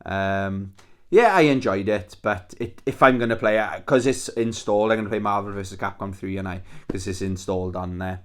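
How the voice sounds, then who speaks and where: A man's voice: fairly low pitch, moderate pace. A Welsh man hosting his own channel, chatting casually and enthusiastically about his games, swearing freely and restarting his sentences. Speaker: YouTube channel host. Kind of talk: casual rambling video chat about his game collection.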